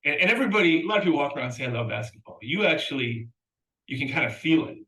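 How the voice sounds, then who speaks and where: A man's voice: a little high, animated, racing, pushed and bright, roomy sound, rough recording. A man hosting an interview, asking curious, conversational questions and often repeating words. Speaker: podcast host.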